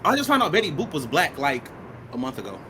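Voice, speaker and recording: A young man runs a laid-back podcast interview, joking and slangy and quick to say "mm-hmm." Clear hiss sits behind his voice throughout. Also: on the high side, big pitch swings, pushed and bright. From Detroit. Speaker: podcast host. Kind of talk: casual interview chat.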